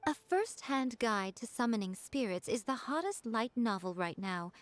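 Game role: cunning fox-spirit woman, publishing boss, smooth, teasing, cast as a woman. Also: a little high, animated, normal speed, dry recording, natural voice. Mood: scheming. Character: sly fox-spirit woman, shrewd chief editor. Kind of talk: video game voice acting.

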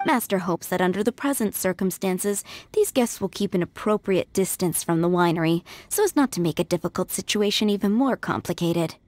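A woman's voice: fairly low pitch, animated, brisk, hardly any pauses, darkish tone, slight background noise, natural voice. A woman voicing a polite, composed young head maid in a video game, speaking formally and courteously. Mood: courteous, discreet. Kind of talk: video game character dialogue. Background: game music.

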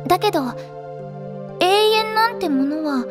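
A woman playing an earnest, expressive teenage girl idol in an anime audio drama. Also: very high voice, measured pace, music in the background. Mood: wistful narration. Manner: girlish, emotive, earnest. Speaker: voice actress, narrator and lead.

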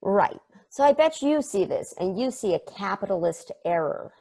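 A young man reading a rambling, excitable probe. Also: high voice, normal speed, full character voice. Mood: chatty. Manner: enthusiastic, rambling, tangent-prone. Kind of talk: video-call table read. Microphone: video call.

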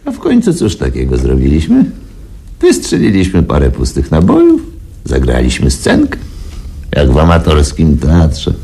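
Very deep-voiced, smug wealthy boss. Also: male voice, huge pitch swings, normal speed, gravelly, mellow, breathy edge, heavily acted. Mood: brazen, dismissive. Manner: chuckling, drawling, self-assured. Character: smug, domineering wealthy male boss, amused and callous. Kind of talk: Polish radio play acting.

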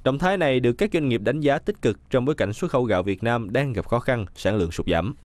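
Brisk male news voice-over, dry. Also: medium pitch, no room sound. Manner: brisk, even newsreader delivery. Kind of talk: scripted news narration.

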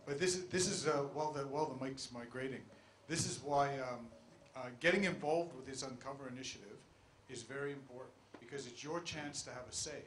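A man's voice: mid-range voice, a little fast, few pauses, fairly bright tone. A middle-aged man on a conference panel, urging the audience to get involved, on a handheld mic. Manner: urging, persuasive. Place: conference room. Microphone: handheld microphone. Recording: echoey conference room, faint hiss.

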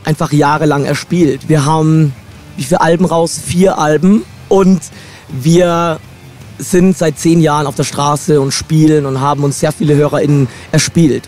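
A young man with a hoarse, slightly high voice speaks as a guest musician. He is relaxed and reflective, talking into a handheld mic inside a van, with faint music behind him. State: hoarse from shouting. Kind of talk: casual interview.

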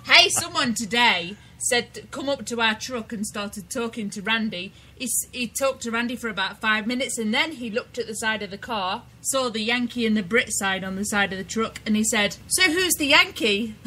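A British woman co-hosting a casual internet radio talk show, chatty and quick to laugh. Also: a little high, pushed and bright, slight background noise, poor audio. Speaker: co-host.

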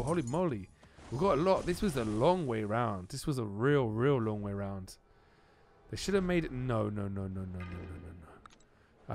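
Man commentating gameplay over music. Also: medium pitch. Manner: exclaims and repeats phrases, informal slang. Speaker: gaming channel host. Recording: music behind the voice.

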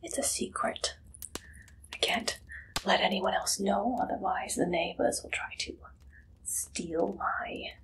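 A young woman playing an eager, shifty Victorian pie-shop keeper in an ASMR roleplay, breathy and whispery, halting and coyly secretive. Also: mid-range voice, poor audio. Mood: secretive, flustered. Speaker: ASMR performer. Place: small dim indoor room.

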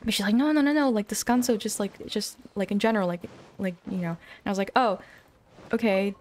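A young adult woman chatting casually on a game livestream, rambling in an informal, expressive way. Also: medium pitch, brisk, a little hiss or hum. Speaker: game streamer. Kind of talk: casual livestream chat over gameplay. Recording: game music behind the voice.

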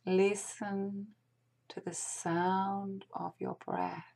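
A woman guides a meditation in a soft, breathy near-whisper with a creaky edge. Her delivery is extremely slow and gentle, leaving long pauses between short phrases. The tone is soothing and mellow. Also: mid-range voice, flat delivery. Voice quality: hushed, breathy, partly whispered.

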